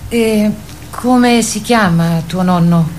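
Woman playing a calm, musing wild goose. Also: mid-range voice, slow pace, slight background noise, close to an everyday voice. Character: adult female wild goose Barbara, calm, guiding, dreamy. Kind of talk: theatrical radio drama.

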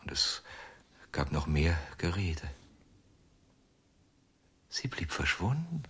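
A haunted male ventriloquist in a radio play. His voice is very low and husky, and he speaks very slowly, groping for words. He broods like someone confessing an obsessive love. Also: big pitch swings, fairly bright tone, exaggerated voice. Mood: bitter, remorseful. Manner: slow, halting, brooding confession. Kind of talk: German radio drama acting.

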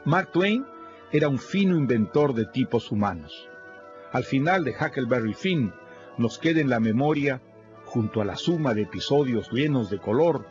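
A man reads a formal, measured literary introduction for a radio program. The sound is somewhat dull, with faint music underneath. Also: medium pitch.

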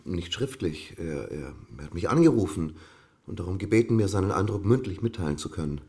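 A man's voice: low voice, lively intonation, a little fast, vocal fry, warm tone, slightly rough, full character voice. An actor playing a flustered, deferential male assistant at a publishing house in a radio crime drama, hesitant and stammering. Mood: sheepish.

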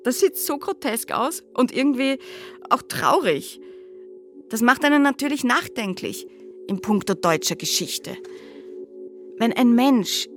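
An actress playing a reflective psychoanalyst in a radio drama, talking conversationally and asking herself questions. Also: female voice, on the high side, very animated, unhurried, long pauses. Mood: musing, bemused. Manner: conversational, pondering, rhetorical questions. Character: reflective female psychoanalyst, musing wryly on history. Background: music.

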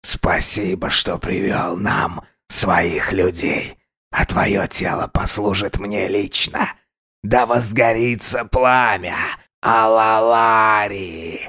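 A man voicing a dying, possessed man in a horror story, slow and halting, with a weak, husky, gasping voice. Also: fairly low pitch, steady tone, sharp tone, no room sound, studio quality, heavily acted. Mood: sinister, menacing. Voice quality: husky, gravelly, whispered in places. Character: dying mutilated man, later a possessed speaking corpse.

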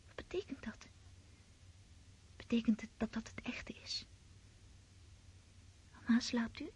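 A woman's voice: on the high side, slow pace, darkish tone, full character voice. An actress playing a romantic, anxious girl of seventeen in a radio play, in an intimate whispery voice. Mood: anxious, wondering. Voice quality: breathy, whispery.

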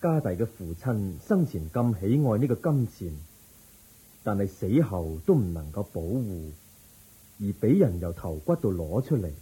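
A wise, compassionate Buddha in a Hong Kong Cantonese radio drama. His low, very dark and husky voice teaches solemnly, with lively intonation. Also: full character voice, low-quality recording. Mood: solemn teaching. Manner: measured, teaching through questions.

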